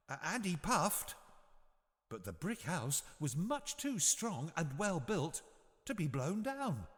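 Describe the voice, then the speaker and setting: A man narrating a scripted bedtime story for children in an expressive, measured storytelling manner. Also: mid-range voice, big-room sound.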